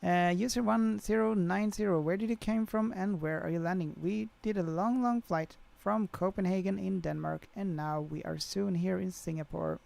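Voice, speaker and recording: A man's voice: high-pitched, fairly even intonation, moderate pace, vocal fry, hardly any pauses, a little mellow. A young man with a Swedish accent, chatting casually on a flight sim livestream and reading out viewer comments, with many fillers. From Sweden.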